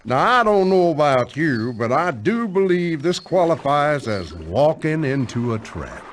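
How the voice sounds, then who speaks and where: Folksy, wry Southern storyteller, expressive delivery over clear background noise. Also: male voice, fairly high pitch, measured pace. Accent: American Southern. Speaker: narrator. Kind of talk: folksy scripted narration.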